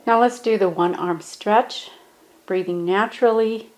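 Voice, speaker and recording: A woman gently guiding a standing yoga meditation, speaking in a calm, instructive way. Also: medium pitch, measured pace, poor audio.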